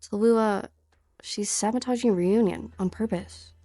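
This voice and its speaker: Woman acting a creaky-voiced, earnest young mercenary. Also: mid-range voice, measured pace, dark tone, no room sound. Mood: insistent. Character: earnest, urgent, defiant young female mercenary. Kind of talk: fan-dub game drama acting. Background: music.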